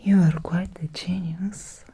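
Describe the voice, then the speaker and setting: A woman playing an ancient, possessive female vampire in an ASMR roleplay, speaking in a breathy, seductive whisper with lingering, deliberate pauses. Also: fairly low pitch, steady tone, mellow, exaggerated voice. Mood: curious, seductive.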